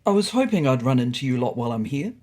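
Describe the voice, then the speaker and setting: A narrator plays a brash male cat from the city in a storybook tale. He talks like a confident salesman, chatty and full of opinions, with very lively swings of pitch. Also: fast, close to an everyday voice. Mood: confident, breezy.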